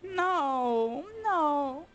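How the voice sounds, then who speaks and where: A hoarse woman chatting casually as she streams a video game, thinking aloud and grumbling playfully. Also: high-pitched, expressive, very slow, faint music behind. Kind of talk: casual let's-play commentary.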